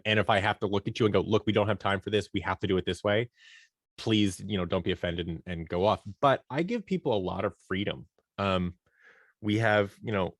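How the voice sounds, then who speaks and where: A man's voice: fairly low pitch, no room sound, studio quality. A man giving conversational interview answers as a guest producer, informal and often voicing imagined dialogue as he explains. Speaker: guest, audio drama producer and company owner.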